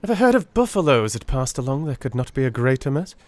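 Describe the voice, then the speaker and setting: Sardonic Victorian sleuth played by a male reader, brisk and commanding, for classic audiobook drama. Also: medium pitch, big pitch swings, no echo, voice pushed up, natural voice.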